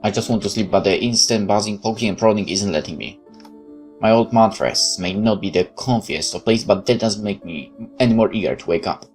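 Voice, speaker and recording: A man's voice: fairly low pitch, brisk, a little mellow, faint music behind. A man hosting a let's play, reading a visual novel aloud and adding casual off-the-cuff commentary. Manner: off-the-cuff remarks between read passages.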